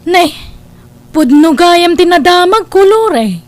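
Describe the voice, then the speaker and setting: A woman's voice: very high voice, lively intonation, takes it slowly, a little mellow, dry recording, heavily acted. A woman playing a complacent married older sister in a family radio drama, dismissive and preachy, scornful of wealth. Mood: smug, chiding. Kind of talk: Ilocano radio-style family drama acting.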